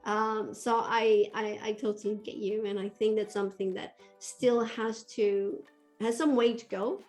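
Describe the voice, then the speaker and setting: A woman in her forties from Malaysia talks over a video call from a room at home, her voice warm and relaxed. She speaks thoughtfully and reassuringly, like a host drawing out a guest. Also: medium pitch, unhurried, faint music behind. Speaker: podcast host. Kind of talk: casual video-call interview.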